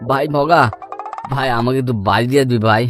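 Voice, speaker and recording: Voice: medium pitch, fast, over music, lightly acted. An actor voicing a befuddled, clingy drunk village man with a stammering, lisping slur in a comic cartoon dub. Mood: drunken, needy.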